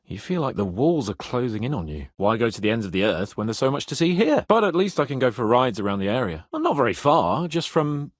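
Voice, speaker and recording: An actor voicing a proud young nobleman knight in a video game, haughty, flippant and expressive, given to grumbling and swearing. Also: medium pitch, a little fast, few pauses, no echo, studio quality.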